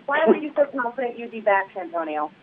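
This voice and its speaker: A woman's voice: fairly high pitch, very animated, quick pace, breathy edge. A female network sports reporter on a conference call, asking short, direct questions. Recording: distant phone line with faint hiss.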